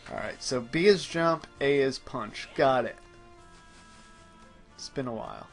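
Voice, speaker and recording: A man's voice: mid-range voice, unhurried, soft background music. A man giving casual gameplay commentary as he plays, explaining details a little pedantically. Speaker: host playing the game.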